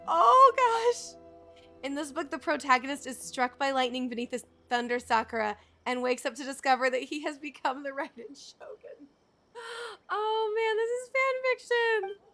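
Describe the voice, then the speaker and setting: A woman with a fairly high voice streams a video game. She chats casually and reads story text aloud, with game music behind her. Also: moderate pace, sharp tone.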